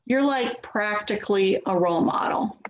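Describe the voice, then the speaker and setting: A woman's voice: mid-range voice, normal speed, close to an everyday voice. A woman acting an anxious, self-doubting grown daughter in a play reading, speaking in a placating, hesitant way. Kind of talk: dramatic play reading, naturalistic dialogue. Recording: echoey, dull, noisy remote recording.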